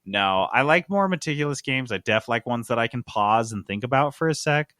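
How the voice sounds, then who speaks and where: A man's voice: mid-range voice, expressive, brisk, fairly bright tone, dry recording, clean studio sound. A man hosting a casual podcast chat, talking informally and jokily with plenty of slang. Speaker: podcast host. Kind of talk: casual chat about games and candy.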